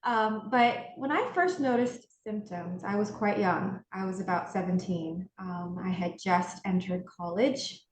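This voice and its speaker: A woman's voice: medium pitch, a little slow, hardly any pauses. A woman from Hawaii, a podcast guest telling her story in a chatty way, acting out what others told her and often restarting her sentences. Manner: chatty, acts out remembered remarks, frequent restarts. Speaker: podcast guest, integrative health practitioner. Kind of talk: casual storytelling interview. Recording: roomy echo, faint noise.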